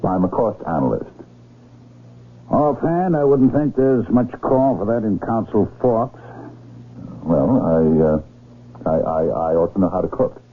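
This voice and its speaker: A mild, stammering office man in an old radio drama. He speaks slowly and hesitantly in a husky voice, through a narrow, muffled broadcast sound. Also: lowish voice, lively intonation. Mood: hesitant, flustered. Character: hesitant, mild-mannered cost analyst.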